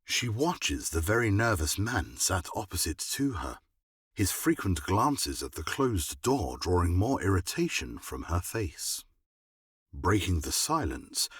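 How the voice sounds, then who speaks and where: A man's voice: deep voice, big pitch swings, a little slow, fairly bright tone, breathy edge, dry recording, clean studio sound. A man reading scripted dramatic narration for an audio drama.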